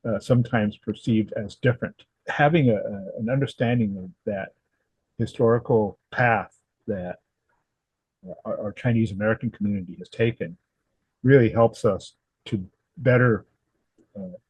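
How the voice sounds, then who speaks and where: A man in his seventies from Southern California, answering interview questions in a thoughtful, halting way with many fillers and restarts. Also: on the low side, a little mellow, breathy edge, dry recording, rough recording. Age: 70s. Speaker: guest, historical society president.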